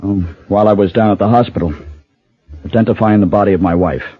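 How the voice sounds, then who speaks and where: An actor playing a somber, shaken widower in a radio drama, speaking tersely and gravely. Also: male voice, on the low side, fast. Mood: grave, numb. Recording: thin phone-like old radio audio.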